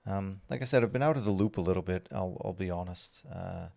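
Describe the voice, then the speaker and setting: A man, his voice deep and gravelly with a lot of fry. He chats loosely with viewers during a livestream, rambling through ums and false starts. The tone stays easygoing and unhurried. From Belgium. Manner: relaxed and rambling, with many fillers and restarts. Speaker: streamer answering chat. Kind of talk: casual livestream chat while working.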